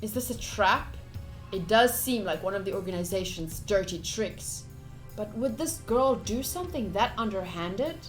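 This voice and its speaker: Female game streamer, mid-pitched, expressive dramatic reading with casual asides, faint music behind. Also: normal speed. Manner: expressive, playful, theatrical reading. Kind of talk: dramatic read-aloud of visual novel with casual commentary.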